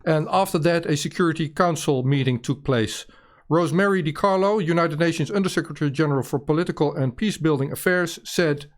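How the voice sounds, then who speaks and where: A male interviewer with a voice a bit higher than usual. He recaps events and reads official statements aloud in a measured way before asking his question.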